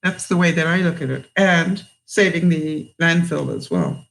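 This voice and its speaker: Older woman, 70s, mixed British accent, live-stream host, slow halting chat, many fillers, a bit tired. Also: sits low, expressive, creaky, poor audio. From England. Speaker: livestream host.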